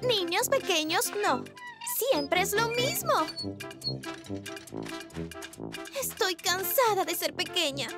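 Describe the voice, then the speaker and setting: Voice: very high voice, very animated, a little slow, full character voice. An actor voicing a small, plucky girl fox in a children's cartoon, childlike, emphatic and easily indignant. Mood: sulky. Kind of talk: animated children's cartoon dub. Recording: music behind the voice.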